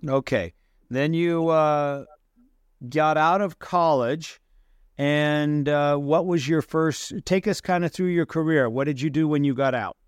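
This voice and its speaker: A male podcast host introduces his guest and asks her friendly questions about her life. His voice sits a little high and sounds crisp, and it is recorded dry and close. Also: unhurried, dry recording. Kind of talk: interview hosting.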